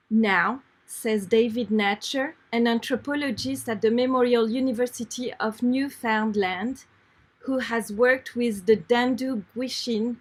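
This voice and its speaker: A woman reading an article aloud in a measured, unrehearsed way, repeating phrases when she stumbles. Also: fairly high pitch, low-quality recording. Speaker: reader.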